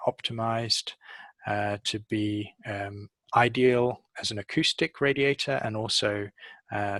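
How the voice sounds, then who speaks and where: A middle-aged man giving a measured, hesitant technical explanation in an interview, speaking into a headset. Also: fairly low pitch, bright, forceful tone, no echo. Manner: hesitant, measured, pausing often. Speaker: audio engineer, interviewee. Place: office showroom, on video call.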